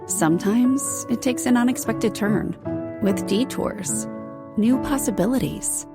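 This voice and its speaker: A woman narrating a reflective financial-services commercial in a soft, gentle manner. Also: fairly high pitch, unhurried, music in the background.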